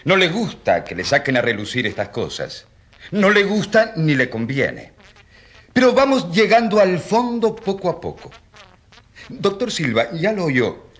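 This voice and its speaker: An actor playing a rural priest on trial in a courtroom radio drama, questioning insistently and defending peasants with indignant irony. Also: male voice, high-pitched, a bit bright, heavily acted.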